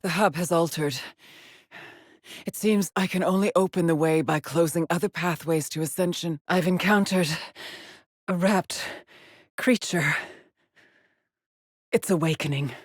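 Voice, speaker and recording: Weary space explorer lady, talks slow n brooding, game log recordings, real dry close sound. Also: on the low side, dry recording. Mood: tense, introspective. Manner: slow, measured, brooding delivery with pauses. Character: haunted, driven female astronaut explorer, weary and introspective. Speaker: video game voice actress. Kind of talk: dramatic game monologue, solitary log recordings.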